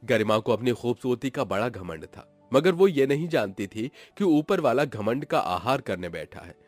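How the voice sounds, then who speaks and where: A man's voice: mid-range voice, sing-song, normal speed, no room sound, faint music behind. A man narrating a scripted moral story in a dramatic storytelling style.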